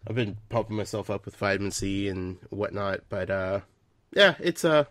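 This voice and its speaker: A man's voice: lowish voice, lively intonation, moderate pace, fairly bright tone, no echo. A man hosting a casual podcast chat, stuffed up from a head cold, rambling with lots of fillers. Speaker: podcast host.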